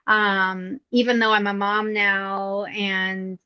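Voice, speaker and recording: A middle-aged woman with a young-sounding voice, talking about her acting career in a relaxed, reflective interview with frequent fillers. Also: medium pitch, slow pace, clear, resonant tone, no echo, dull audio. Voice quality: youthful-sounding voice. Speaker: voice actress, interview guest. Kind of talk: casual interview answers. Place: indoors at her mother's home.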